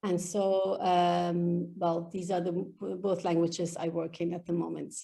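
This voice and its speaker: A woman whose first language is Farsi, answering as a guest on a video-call interview about her tapping practice, her pitch often lifting as she tells a story. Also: level tone, unhurried, gravelly, mellow.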